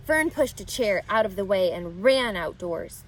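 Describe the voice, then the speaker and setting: A woman reading a children's novel aloud with expressive, animated narration. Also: mid-range voice, unhurried, a little hiss or hum, low-quality recording. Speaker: reader. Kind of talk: storybook read-aloud.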